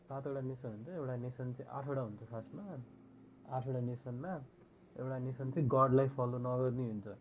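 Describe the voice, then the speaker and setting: A Nepali man comments casually on a livestreamed game. He talks at a very rapid pace in a mid-pitched voice. The sound is a little dull, with game music clearly audible behind him. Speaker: game streamer. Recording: muffled stream audio under game music. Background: game music and character dialogue.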